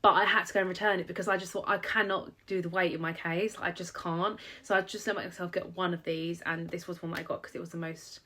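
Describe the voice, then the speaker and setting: A woman's voice: lowish voice, fairly even intonation, quick pace, few pauses, sharp tone. A young British woman vlogger with a cold, sounding slightly nasal and bunged up, chatting casually to camera about her shopping haul with lots of "um" and "like". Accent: British English. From England. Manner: chatty, rambling, many "um" and "like". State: blocked nose from a cold.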